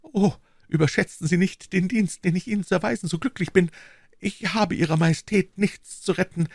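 A brave young woman servant in a storybook, acted out by a man. The voice is lifted in pitch, eager and pleading, and it reassures with earnest devotion. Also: fairly quick, gravelly, no room sound, clean studio sound. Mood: earnest, confident.

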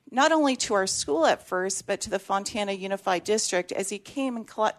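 A woman school principal, whose first language is Greek, answering questions earnestly in a radio interview, with many fillers. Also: mid-range voice.

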